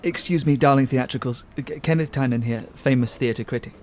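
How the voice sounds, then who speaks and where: An actor playing a pompous, arch and courteous theatre critic who also narrates a radio play to the listener. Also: male voice, mid-range voice, fairly quick, a little mellow, slight background noise, close to an everyday voice.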